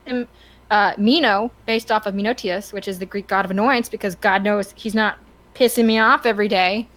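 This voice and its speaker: A woman guest on a casual podcast, talking in halting, self-repeating phrases. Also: mid-range voice, steady tone, a bit bright.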